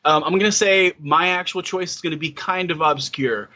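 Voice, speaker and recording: Bright-voiced man in his thirties, hesitant. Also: a little high, moderate pace, muffled recording. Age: 30s. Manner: hesitant, many fillers and restarts. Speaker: podcast co-host. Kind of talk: casual chat.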